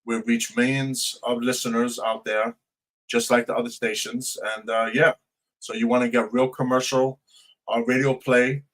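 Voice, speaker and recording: A man with a flat, even delivery co-hosts a live radio show. He promotes the station casually, building his pitch in halting phrases. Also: low-quality recording. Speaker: radio co-host and DJ.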